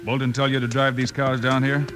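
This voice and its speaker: An actor playing a steady, heroic cowboy lawman in a radio Western, firm and composed, with an American accent. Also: mid-range voice, fairly quick, music in the background, close to an everyday voice. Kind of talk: old-time radio Western acting. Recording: noisy vintage radio recording.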